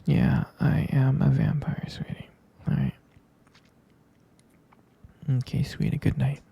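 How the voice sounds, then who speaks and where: A man playing a gentle, sleepy half-human vampire boyfriend in an ASMR roleplay, murmuring hesitant, tender reassurance close to the mic in a soft, breathy whisper. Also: medium pitch, sing-song, slow, exaggerated voice. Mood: sleepy, soothing.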